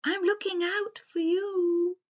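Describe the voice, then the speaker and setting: A woman voicing a wise, watchful old storybook owl with hooting, drawn-out pronouncements. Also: extremely high pitch, flat delivery, muffled recording, pitched above the performer's own voice.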